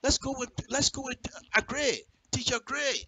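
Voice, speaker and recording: A man's voice: very high voice, fairly even intonation, normal speed, pushed and bright, slightly rough, no echo. A man with a Liberian accent giving impassioned political commentary in direct address, emphatic and repeating phrases for effect. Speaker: radio commentator.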